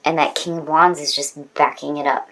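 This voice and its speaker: A woman's voice: deep voice, level tone, moderate pace, a bit bright, a little hiss or hum, low-quality recording. A woman speaking as a tarot reader in a casual, conversational style, with an informal, emphatic manner.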